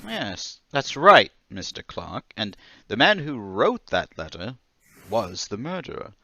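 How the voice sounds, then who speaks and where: A man playing a meek, polite and nervous murder suspect in an old-time radio mystery, hesitant and stammering but quietly stubborn. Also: lowish voice, very animated, slow pace, dry recording, heavily acted. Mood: earnest, insistent.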